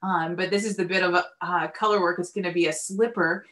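A woman's voice: lowish voice, steady tone, fairly quick, fairly bright tone. A woman in her forties telling stories in a casual video chat as a farm-owner guest. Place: indoors in a yarn shop lined with wool shelves. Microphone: computer mic on a video call.

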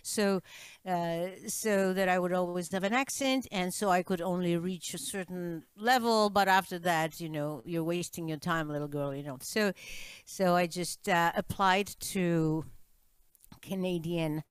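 A woman's voice: fairly low pitch, steady tone, a little slow, clean tone, no echo. A woman in her sixties with a Czech accent, telling family stories over a video call, full of fillers and restarts. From Czech Republic. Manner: chatty storyteller, many fillers and restarts. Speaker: guest musician. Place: home room with piano, indoors. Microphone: studio mic on stand, video call.

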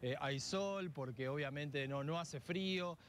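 A middle-aged Argentine man working as a TV field reporter, chatting in a halting, casual way and often repeating himself. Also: fairly high pitch. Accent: Argentine, Buenos Aires area. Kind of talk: live outdoor broadcast, casual street interviews. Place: outdoor fairground in open air. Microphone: handheld reporter microphone. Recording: noisy outdoor live TV feed.

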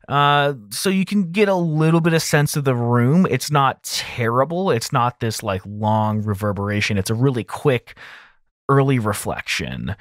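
Guy in his 30s doing a laid out casual tutorial, mid pitch, super dry close mic sound. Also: no echo. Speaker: audio engineer hosting a mixing tutorial. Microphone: close broadcast mic on arm.

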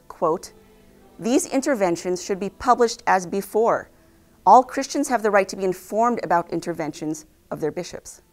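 A young adult woman reading scripted news headlines to camera as an anchor, in a formal, measured way. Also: mid-range voice. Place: indoor news studio.

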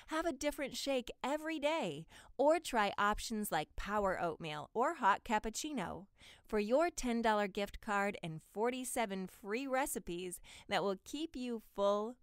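A woman reads promotional copy as a voice-over artist. Her voice is smooth and resonant, and she takes her time with a polished, carefully enunciated delivery. The sound is close and dry, with no room echo. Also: a little high. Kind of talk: scripted promotional voice-over for health and diet ads.

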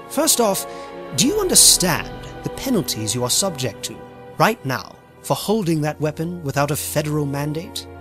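A man voicing a suave, secretive animal leader in a cartoon fandub, speaking formally and wordily with polite menace. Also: a little high. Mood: stern, measured. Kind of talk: dramatic comic fandub dialogue.

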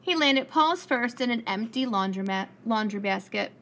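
A woman preschool teacher reading a picture book aloud to young children with expressive storytelling. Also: on the high side. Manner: expressive storytelling, pausing to ask the children questions. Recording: clearly noisy room recording.

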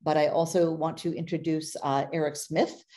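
A middle-aged woman hosting an interview over a video call, chatty and quick to laugh. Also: sits low, flat delivery, dark tone. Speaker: host.

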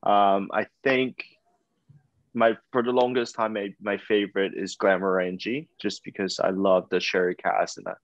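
A young man from Taiwan, talking casually over a video call as a guest, with many pauses and fillers. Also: on the low side, little pitch movement, dry recording. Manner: hesitant, many fillers and restarts. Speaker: whisky brand ambassador guest. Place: indoors, room with whisky bottles and banners. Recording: dull lo-fi call audio.

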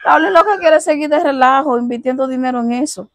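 A woman's voice: sits high, brisk, no room sound. A woman grumbling and scolding on a porch in a comedy sketch. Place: outdoor porch of a rural house.

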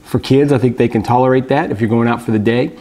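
A man's voice: mid-range voice, fast. Brief: 30s man, easygoing gear reviewer, plain mid voice, small room. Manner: relaxed, explanatory, frequent fillers. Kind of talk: casual gear review vlog. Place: small indoor room at a table.

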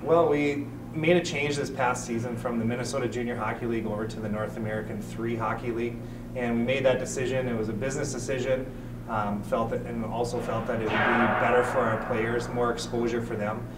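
Coach talking in an echoey ice rink. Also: male voice, medium pitch, little pitch movement, brisk, far from the mic, background noise. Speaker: head coach and general manager. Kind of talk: on-camera interview. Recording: echoey rink from off-mic with loud background.

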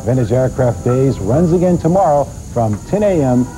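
A man's voice: medium pitch, animated, normal speed. A man with an American accent reading scripted local news as an anchor, on a hissy nineties VHS recording.

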